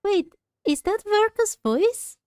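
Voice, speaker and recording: A woman's voice: very high-pitched, very animated, takes it slowly, darkish tone, no echo, studio quality. A woman giving casual game commentary as she plays, musing aloud and asking questions as she goes. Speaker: game streamer.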